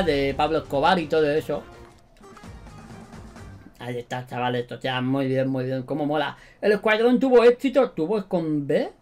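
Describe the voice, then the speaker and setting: Young Spanish YouTuber from Spain, high voice, animated and slangy, repeats himself, faint music underneath. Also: male voice. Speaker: gaming YouTuber. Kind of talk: excited gameplay commentary. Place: bedroom studio indoors.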